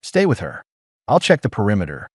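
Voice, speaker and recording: A man voicing a weary, gruff human ex-mercenary who speaks low and clipped. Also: wildly swooping pitch, fairly quick, no echo, clean studio sound, full character voice.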